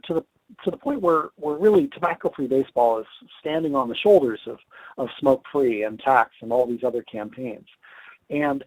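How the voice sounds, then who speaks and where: A man's voice: medium pitch, darkish tone, no room sound, rough recording. A man losing his voice, giving a webinar talk and answering questions in a conversational way with many fillers and restarts. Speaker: tobacco control advocate presenter.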